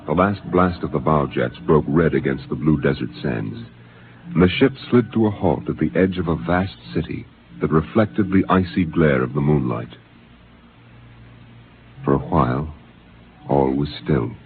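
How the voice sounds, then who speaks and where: A man's voice: very deep voice, moderate pace, slight background noise. A man narrating a 1950s radio drama in a grave, dramatic manner. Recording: tinny old radio broadcast with music.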